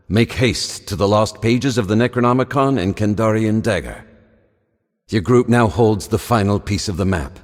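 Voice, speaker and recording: A man's voice: deep voice, a little mellow. A male actor playing a grave, scholarly professor who narrates a video game in a solemn, formal way. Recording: long echoing reverb.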